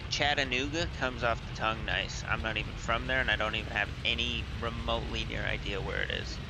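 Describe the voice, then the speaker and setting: A man's voice: medium pitch, steady tone, a little fast, noisy background. Playful man with Canadian accent. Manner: teasing, playful. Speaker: flight sim pilot in group stream. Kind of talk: casual chat.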